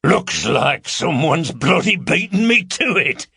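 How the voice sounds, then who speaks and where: A man's voice: a little high, sing-song, takes it slowly, gravelly, bright, forceful tone, breathy edge, no room sound, studio quality, voice pushed up, exaggerated voice. A man voicing a huge, crude male intruder, mocking and blunt in an audiobook. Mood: surprised.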